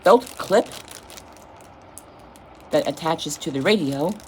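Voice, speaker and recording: Low-voiced woman, casual and halting. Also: animated, a little hiss or hum. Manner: informal, halting, vague wording. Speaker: product reviewer. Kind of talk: casual unboxing demonstration.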